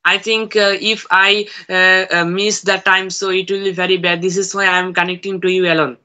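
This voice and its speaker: Hesitant teenage boy, bright flat voice. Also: sits high, normal speed, smooth. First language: Bangla. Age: teens. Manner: hesitant, many fillers and restarts. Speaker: student learner. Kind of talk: English conversation practice on a video call. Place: room at home. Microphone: phone on a video call.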